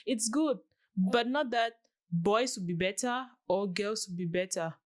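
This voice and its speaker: A woman's voice: mid-range voice, measured pace. Woman in her late twenties from Cameroon. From southwest Cameroon. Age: late 20s. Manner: earnest, explains with quoted examples. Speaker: guest. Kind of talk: casual interview answers.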